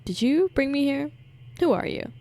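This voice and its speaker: A woman's voice: sits high, expressive, moderate pace, warm tone. A young woman giving casual, slangy, jokey commentary as she reacts to a video game. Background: game music.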